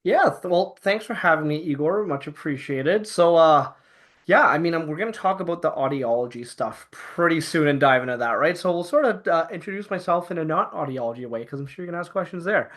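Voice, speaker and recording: A man's voice: a little high, lively intonation, fairly quick, a bit bright. A man with a Canadian accent, a podcast guest explaining things in casual, talkative style with plenty of filler phrases like "sort of". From Canada, Toronto area, born in Hong Kong. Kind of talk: casual chat.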